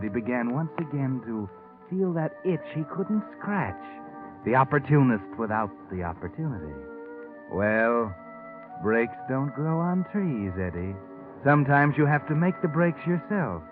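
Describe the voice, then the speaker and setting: An actor playing a sardonic, shadowy male storyteller, narrating a 1940s radio mystery in a wry, insinuating way. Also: mid-range voice. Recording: tinny old radio recording, loud music.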